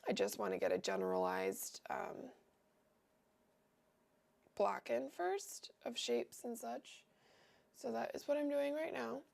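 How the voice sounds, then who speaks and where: A woman's voice: on the high side, animated, slow pace, gravelly, pauses often, darkish tone. A young woman with a cold and a nasal voice, hosting a livestream and chatting casually as she paints, wandering and thinking aloud with lots of fillers. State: sick and nasal.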